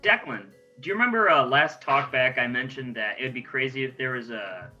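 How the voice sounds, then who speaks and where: A man's voice: medium pitch, lively intonation, fairly quick, pushed and bright, lo-fi sound, faint music behind. A man chatting casually with friends as part of a podcast cast. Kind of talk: casual chat among friends.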